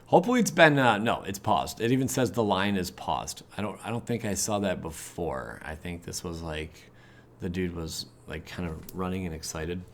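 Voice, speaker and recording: Low-pitched American man, rambling casually. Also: expressive, normal speed, slight background noise. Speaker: gaming channel host. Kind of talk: casual livestream chat, reacting to web pages.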